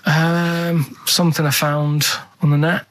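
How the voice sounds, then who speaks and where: A man's voice: fairly high pitch, level tone, unhurried, a bit bright. A man telling rambling anecdotes on the radio, earnest, matter-of-fact and hesitant. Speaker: radio show regular. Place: radio studio.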